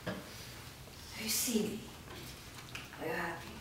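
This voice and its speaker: A woman reading the part of a possessive female master in a staged script reading, calm and imperious. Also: fairly low pitch, slow pace. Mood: commanding. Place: large indoor studio room. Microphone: far camera. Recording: echoey room from far off, background noise.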